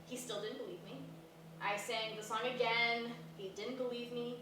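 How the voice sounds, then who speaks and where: A woman's voice: fairly high pitch, fairly even intonation, moderate pace, natural voice. Echoey, rambling flighty young woman played by an actress. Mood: earnest, rambling. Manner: rambling, hesitant, filler-laden. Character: flighty, blunt young woman, a fame-hungry college dropout. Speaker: stage actor in a staged reading. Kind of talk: naturalistic stage comedy dialogue. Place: live staged reading in a reverberant room. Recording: echoey room from far off, noisy.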